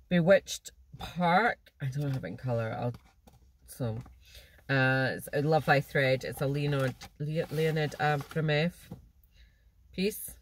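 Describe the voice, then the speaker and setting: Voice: mid-range voice, a little hiss or hum. Nasal vlogger chatting in a car. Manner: chatty, rambling, with false starts and small laughs. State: slightly blocked nose. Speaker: cross-stitch vlogger. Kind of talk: casual chat to camera. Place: parked car.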